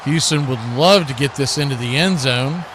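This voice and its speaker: A man's voice: medium pitch, moderate pace. A man doing live radio play-by-play commentary of a football game as the announcer. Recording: clearly noisy live broadcast.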